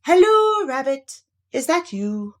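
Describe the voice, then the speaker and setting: A woman plays a friendly, naive toy bear from a children's storybook. He is puzzled and polite, a little greedy, with a high, swooping voice and an unhurried pace. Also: sing-song, a bit bright, heavily acted. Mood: curious calling. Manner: hums nonsense syllables, puzzled and polite.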